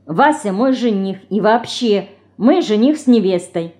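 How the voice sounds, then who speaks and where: A woman's voice: medium pitch, lively intonation, measured pace, a little mellow, close to an everyday voice. A woman voicing a prim young older sister, indignant and scolding, in a storybook reading.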